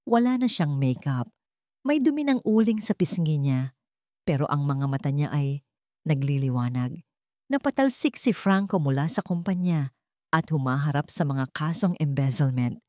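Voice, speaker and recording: Woman narrator with a lively, low voice. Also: normal speed, dry recording, clean studio sound. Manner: dramatic storytelling. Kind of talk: scripted narration.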